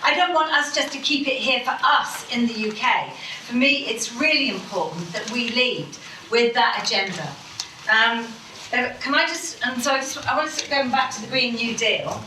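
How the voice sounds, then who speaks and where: A woman's voice: fairly high pitch, normal speed, sharp tone, a little hiss or hum. A woman speaking on a panel, emphatic and rambling, swearing for emphasis. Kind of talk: impassioned public panel speech. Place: conference hall. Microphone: distant mic in a room. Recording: echoey hall from far off.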